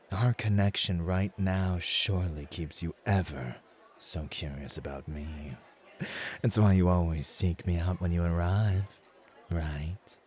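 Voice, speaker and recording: A man's voice: low-pitched, dark tone, slightly rough. A man playing a suave, polite club host in an ASMR roleplay, flirtatious and courtly as he teases and mentors.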